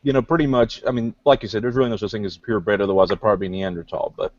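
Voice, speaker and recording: Deep-voiced man on a muffled call. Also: fairly even intonation, rapid-fire, no room sound. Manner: thoughtful, hesitant, many fillers. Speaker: guest newcomer. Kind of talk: casual online group discussion. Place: gym. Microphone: video call. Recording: dull lo-fi online call audio.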